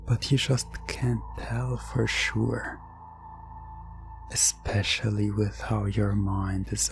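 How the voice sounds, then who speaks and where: A low-pitched voice narrates an eerie second-person hypnosis story in ASMR style. The delivery is very slow and hushed, often dropping to a whisper. Music plays behind the voice. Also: creaky.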